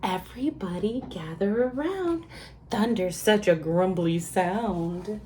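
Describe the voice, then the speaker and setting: A woman's voice: mid-range voice, lively intonation, takes it slowly, warm tone, a little hiss or hum. A woman voicing a kind little toy truck in a bedtime picture book. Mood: welcoming.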